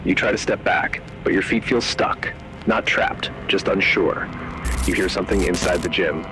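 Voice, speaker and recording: A man's voice: low-pitched, poor audio. Suspenseful male horror narrator over music. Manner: measured, suspenseful, short clipped phrases. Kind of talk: scripted second-person horror narration.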